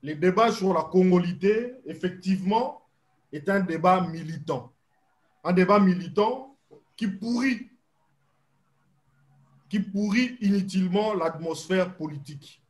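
A young man, a guest activist, arguing his point in a political debate over a video call, slow and insistent. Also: high-pitched.

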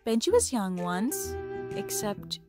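A woman's voice: mid-range voice, very animated, slow pace, over music. A woman playing a frank, teasing, matter-of-fact mother in a comic dub.

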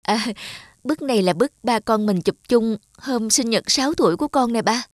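Woman voicing a high-pitched, earnest young daughter. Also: animated, normal speed, dry recording, clean studio sound, close to an everyday voice. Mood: tender hopeful. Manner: pleading, emotional. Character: earnest, tender-hearted daughter longing for her lost father. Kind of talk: cartoon drama voice acting.

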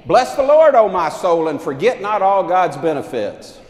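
Animated older preacher in an echoey church. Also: male voice, high-pitched, normal speed, slight background noise. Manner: animated preaching, arms raised, pacing the chancel. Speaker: pastor. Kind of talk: sermon. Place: large church sanctuary.

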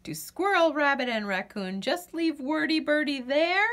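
Female children's story reader: high, bright, unhurried, expressive delivery. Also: a little hiss or hum. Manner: expressive, deliberate phrasing. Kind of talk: animated storybook narration.

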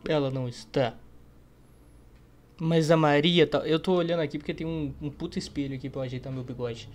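A man's voice: a little high, fairly even intonation, fairly quick, darkish tone, faint music behind. A young man with a Brazilian accent giving playful, slangy, casual gameplay commentary as a YouTuber. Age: young adult. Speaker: gaming YouTuber.

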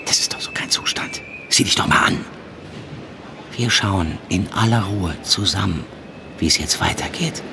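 An actor playing a police handler in a naturalistic audio drama, reassuring yet insistent, often dropping into hushed tones. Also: male voice, sits low, gravelly. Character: male police handler calming and pressuring his undercover agent. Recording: noisy outdoor ambience with vehicle sounds.

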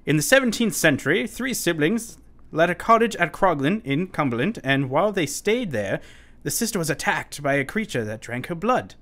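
An unhinged asylum patient, formal wording, voice swings up and down, kinda sharp, radio play. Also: male voice, fairly high pitch, very animated, moderate pace. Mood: brooding. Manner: formal, urgent, given to sudden chuckles. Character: unsettled, pleading, well-spoken asylum inmate, man.

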